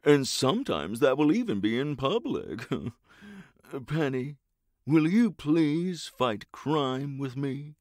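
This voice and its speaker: A man's voice: on the high side, big pitch swings, slow pace, a little mellow, no echo, studio quality. A man playing a flamboyant, self-important and clueless millionaire superhero in a comedic audio drama, with a theatrical, grandiose manner. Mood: grandly earnest.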